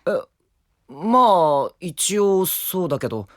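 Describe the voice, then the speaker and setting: A woman's voice: on the low side, very animated, slow pace, background noise. A woman playing a wry, exasperated young male rookie lawyer, casual and grumbling, in a Japanese audio drama.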